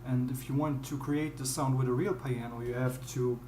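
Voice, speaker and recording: A young man with a German accent, a non-native English speaker, explaining step by step in a halting, word-searching way how he builds a beat at his computer. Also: mid-range voice, fairly even intonation, dark tone, a little hiss or hum. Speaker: music producer. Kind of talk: screen-recorded beatmaking tutorial. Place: dim small room at home. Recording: roomy with piano playing behind.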